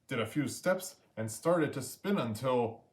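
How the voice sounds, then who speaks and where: A man's voice: mid-range voice, expressive, a little slow, darkish tone. A man narrating a children's story aloud as a storyteller.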